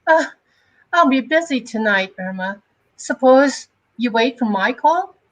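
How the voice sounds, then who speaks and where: A woman's voice: a little high, expressive, unhurried, rough recording. A woman playing a cool, aloof townswoman with clipped, evasive politeness in a dramatic comic-book reading. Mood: coolly evasive.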